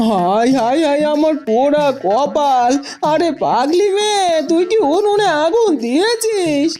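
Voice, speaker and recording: A woman plays a scolding cartoon village mother with a high, rather soft-edged voice. She frets and laments like a long-suffering parent, with faint music underneath. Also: lively intonation, a little slow. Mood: exasperated. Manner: lamenting, fussing, admonishing. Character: exasperated, scolding village mother of a grown daughter. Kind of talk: Bengali cartoon fairy-tale voice acting.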